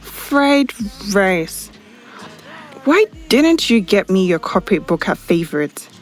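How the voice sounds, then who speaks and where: A woman's voice: medium pitch, very animated, takes it slowly, soft background music. A woman playing a friendly young professional in a modern audio drama. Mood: friendly, playful.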